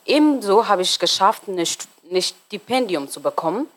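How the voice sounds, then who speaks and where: A woman in her twenties from Somalia, German not her first language, talking as an interview guest and often pausing on fillers while searching for words. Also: medium pitch, sing-song. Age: 20s. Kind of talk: casual interview talk.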